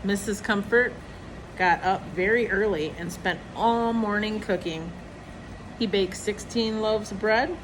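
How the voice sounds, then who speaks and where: Woman reading a storybook over background noise. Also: mid-range voice. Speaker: storybook reader. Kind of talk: reading a children's picture book aloud.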